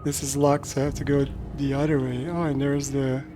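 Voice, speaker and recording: A man in his thirties doing casual, low-key live commentary on a game, thinking aloud as he plays. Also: level tone, fairly quick, warm tone, soft background music. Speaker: streamer and game developer.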